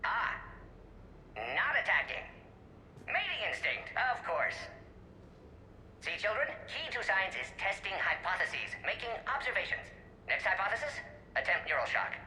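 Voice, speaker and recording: An actor playing a brisk, eccentric male alien scientist in a video game, speaking in clipped, didactic telegraphic sentences. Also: high voice, bright, forceful tone, full character voice. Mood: flustered, analytical. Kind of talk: video game character performance. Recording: echoey recorded message with faint noise.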